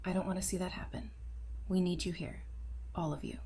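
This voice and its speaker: Actress as perceptive female survivor, slightly low pitch, earnest, composed, self-assured, audio drama. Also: steady tone, fairly quick, mellow, a little hiss or hum, close to an everyday voice. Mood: earnest, pleading.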